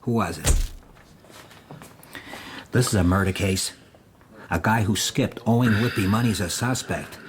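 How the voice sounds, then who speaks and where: An actor playing a pushy, suspicious police officer in a radio drama, blunt and interrogating. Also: male voice, on the low side, unhurried, pauses often, close to an everyday voice. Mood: threatening. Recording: noisy radio drama recording.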